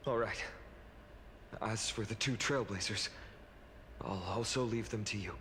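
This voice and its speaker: A man playing an earnest, selfless young lord in a fantasy video game, speaking in a solemn, measured way that sometimes turns hushed and breathy. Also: on the low side, a little hiss or hum. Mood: resolute. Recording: game dialogue under loud music.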